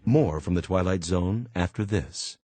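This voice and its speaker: A man's voice: low voice, unhurried, over loud music. A man announcing scripted credits for a radio drama series.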